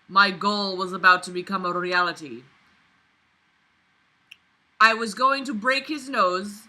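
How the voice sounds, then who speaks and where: A woman's voice: medium pitch, bright, forceful tone, poor audio. A woman reading a book aloud and breaking in with sarcastic, indignant, sweary commentary. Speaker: vlogger reading and critiquing a novel.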